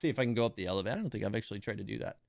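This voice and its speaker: A man livestreaming a game, musing aloud in a wry, halting, casual way. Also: fairly low pitch, expressive, gravelly, no room sound. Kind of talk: casual gaming stream commentary.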